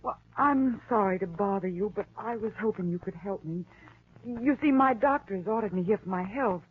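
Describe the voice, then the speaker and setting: A woman's voice: medium pitch, moderate pace, lightly acted. An actress playing a polite, weary woman seeking lodging, hesitant and apologetic, in 1940s radio drama style. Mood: hesitant, surprised. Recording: tinny, noisy old radio recording.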